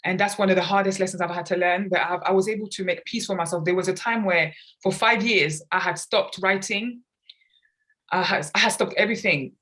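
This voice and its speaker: Woman from London, fairly low voice, earnest, reflective, conversational podcast guest. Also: a little fast, a bit bright. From grew up in London, England. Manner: earnest, reflective, talks at length about personal experience. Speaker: guest, children's author and artist.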